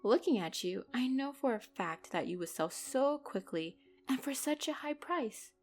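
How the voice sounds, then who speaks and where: A woman's voice: on the high side, animated, moderate pace, faint music behind. Woman acting a menacing, swooping-voiced female mafia boss. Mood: possessive, sly. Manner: condescending, controlled, mock-sweet with threats. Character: commanding female mafia boss, menacing, possessive, icily playful. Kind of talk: dramatic roleplay audio acting.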